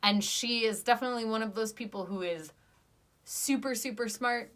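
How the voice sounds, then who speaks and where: A woman's voice: a little high, moderate pace, a bit bright. A young woman hosting a casual, enthusiastic podcast review chat full of fillers. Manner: chatty, enthusiastic, many fillers. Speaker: podcast reviewer, channel host.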